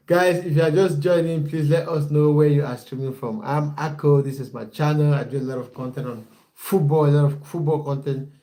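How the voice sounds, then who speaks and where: Mellow-voiced man livestreaming. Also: a little high, fairly even intonation, moderate pace, low-quality recording. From Cameroon. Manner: repeats phrases, talks straight to viewers. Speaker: football coach. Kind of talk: livestream chat, reading out viewer comments.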